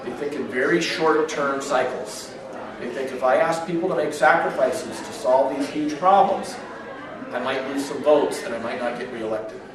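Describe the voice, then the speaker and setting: A man in his fifties from Northern California, hoarse with a cold, giving an earnest campaign speech in a restaurant. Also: mid-range voice, normal speed. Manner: earnest, steady, heavy with figures. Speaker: political candidate. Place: restaurant function room. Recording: echoey room from far off, crowd murmur. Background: crowd chatter.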